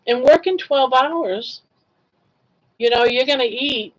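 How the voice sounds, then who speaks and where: A woman's voice: sits high, takes it slowly, poor audio. An older woman hosting a casual livestream, telling stories in a chatty way, laughing often and reacting to chat comments. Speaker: livestream host.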